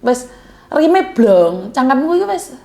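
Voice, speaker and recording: A fairly high-voiced woman talks on a call-in advice show. She is blunt and outspoken, with no softening of her words, and she mixes into her Indonesian as she talks to a caller. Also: sing-song, takes it slowly, gravelly. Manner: blunt, outspoken, self-described coarse way of speaking. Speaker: host of a call-in advice channel. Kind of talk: live call-in chat. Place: Hong Kong.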